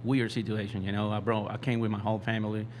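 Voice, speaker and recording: A lower-voiced man speaks English with a Venezuelan Spanish accent, his first language being Spanish. He talks haltingly, stopping to search for words. A faint hum of noise sits beneath him. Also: flat delivery, darkish tone. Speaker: metal musician guest.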